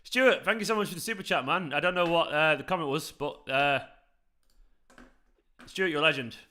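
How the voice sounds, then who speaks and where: A young man with a South Manchester accent talks loosely and at length to his viewers. His voice is bright and forward, and he wanders from thought to thought in casual chat. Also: a little high, moderate pace. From South Manchester, England. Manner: chatty, rambling, talks to viewers. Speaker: fan channel host. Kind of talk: live football watchalong chat.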